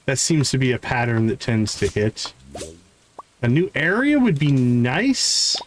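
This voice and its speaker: A man's voice: medium pitch, very animated, a little slow, slight background noise. A man casually commentating his live gameplay, talking to himself in short remarks and repeating words as he thinks. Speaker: game streamer. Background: music.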